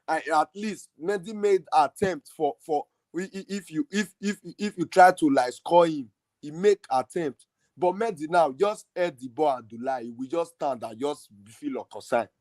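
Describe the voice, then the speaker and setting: A man's voice: fairly high pitch, a little mellow, dry recording. A man hosting a football fan podcast, arguing his point passionately in a casual heated debate. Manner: passionate, argumentative, emphatic.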